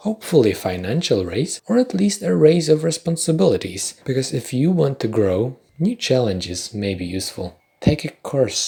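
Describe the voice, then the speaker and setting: A young man whose first language is Polish, hosting a podcast with a wry, self-deprecating, deliberately paced scripted monologue. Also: medium pitch, darkish tone, husky. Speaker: podcast host.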